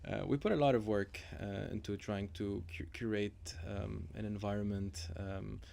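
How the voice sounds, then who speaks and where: A man's voice: fairly low pitch, steady tone, moderate pace, vocal fry, dark tone. A young man from Lebanon, a nightlife organizer talking casually on radio, hesitant with many ums and repeated words.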